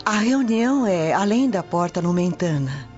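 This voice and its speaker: An actress playing a loyal, devout and resolute Roman matron in a radio drama. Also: female voice, lowish voice, sing-song, moderate pace, a little mellow. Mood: resolute, supportive. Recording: dull lo-fi radio drama audio. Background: music bed.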